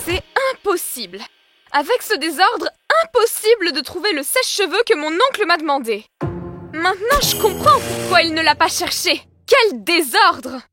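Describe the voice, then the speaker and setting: Excitable young cartoon dog, very high, lively swooping delivery, dramatic. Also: female voice, moderate pace, faint music behind, heavily acted. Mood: overwhelmed. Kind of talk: French children's cartoon dub acting.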